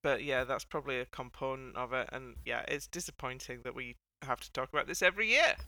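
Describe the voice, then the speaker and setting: Podcast host, casual panel chat, crisp bright voice, dry close sound, wry wordy phrasing. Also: mid-range voice, fast, dry recording. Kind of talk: casual panel chat about a TV dance show.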